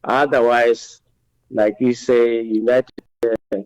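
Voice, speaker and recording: A man with a Botswanan accent, answering as a politician in an interview in a halting, deliberate way, with many hesitations. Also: medium pitch, little pitch movement, darkish tone, no room sound, low-quality recording. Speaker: member of parliament being interviewed.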